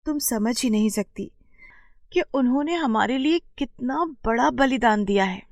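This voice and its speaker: Woman voicing a high, earnest, devoted young sister. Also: moderate pace, no room sound, studio quality, close to an everyday voice. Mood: scolding. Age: young adult. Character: devoted, caring young sister, firm and protective.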